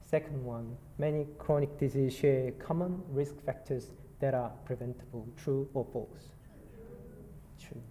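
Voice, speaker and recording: A man with a Korean accent giving a conference talk, halting and self-deprecating with many fillers. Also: mid-range voice, warm tone, slight background noise. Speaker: nurse presenter. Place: conference hall. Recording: reverberant hall, faint background ambience.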